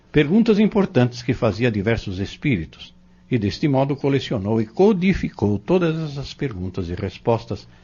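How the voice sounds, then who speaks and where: A man's voice: mid-range voice, dark tone, husky. A man playing a learned, patient spiritist mentor in an audio novel, speaking formally and didactically with a Brazilian accent. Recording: dull lo-fi audio drama recording.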